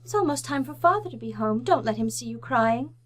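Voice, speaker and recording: A woman acting a gentle, dutiful young daughter in old-time radio drama, with a pleading manner. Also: fairly high pitch, animated, a little fast. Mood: consoling.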